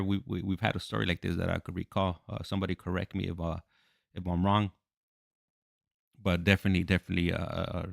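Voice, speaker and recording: A man casually hosting a live show from home, halting and repeating himself as he searches for words. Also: low-pitched, creaky, no room sound, studio quality. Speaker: host. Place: at home indoors.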